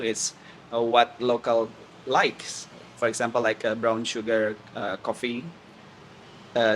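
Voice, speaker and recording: A man in his thirties from Borneo, answering interview questions as a café owner in plain, halting English with frequent pauses. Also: mid-range voice, a bit bright, slight background noise. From Borneo, Indonesia. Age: 30s. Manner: plain, halting non-native English with many "uh"s. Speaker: coffee shop and bakery owner.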